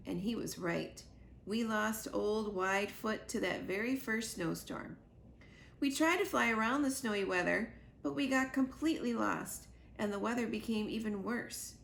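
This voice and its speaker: A woman's voice: mid-range voice, vocal fry, a little hiss or hum. A woman reading a children's book aloud as a young goose earnestly telling his mother about his adventures. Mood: earnest, troubled.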